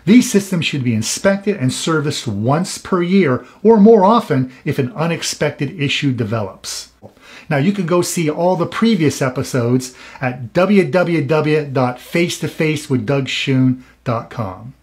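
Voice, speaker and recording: A man's voice: fairly high pitch, expressive, a little slow. A man in his fifties, an industry expert hosting a show, giving scripted advice straight to camera in an earnest, cautionary way. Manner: earnest, cautionary, emphatic warnings.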